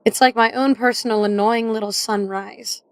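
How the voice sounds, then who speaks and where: A woman's voice: a little high, fairly even intonation, moderate pace, dry recording, natural voice. A woman playing a cool psychopomp assassin in an audio drama, with a dry, teasing, reserved manner. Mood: dry, fond. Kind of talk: audio drama character acting.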